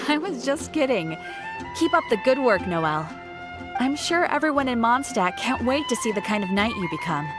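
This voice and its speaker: A woman voicing a friendly, appreciative shopkeeper in a video game, chatty and gently teasing. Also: fairly high pitch, sing-song, moderate pace. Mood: teasing, grateful. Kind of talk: scripted video game voice acting. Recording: music behind the voice. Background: background game music.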